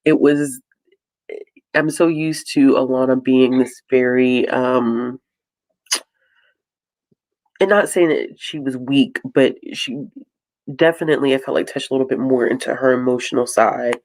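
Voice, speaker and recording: Woman with a lowish voice chatting casually, emphatic storyteller, super dry audio no echo. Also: a little slow, long pauses, darkish tone. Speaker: livestream co-host. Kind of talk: casual chat.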